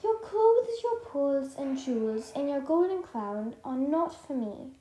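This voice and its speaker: A high-pitched talking frog from a storybook reading, coaxing and bargaining, as a woman acts him out. The voice has an odd, altered timbre, and the recording carries a roomy echo. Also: very animated, a little slow, mellow. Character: talking male frog in a well, bargaining and pleading.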